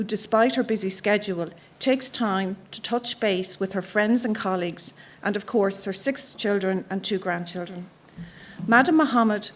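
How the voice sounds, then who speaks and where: A woman reading a formal scripted citation in a measured, ceremonial way at a conferring. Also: mid-range voice. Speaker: council member reading an honorary citation. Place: auditorium, before an audience.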